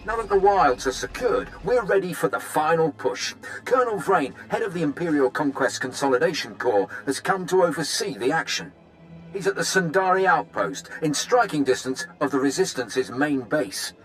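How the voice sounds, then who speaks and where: An actor playing an eager, fawning Imperial army captain in a video game, with formal military manners. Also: male voice, on the high side, lively intonation, moderate pace, soft background music, natural voice, low-quality recording. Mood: eager, confident. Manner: obsequious, formal military address. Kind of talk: video game cutscene acting.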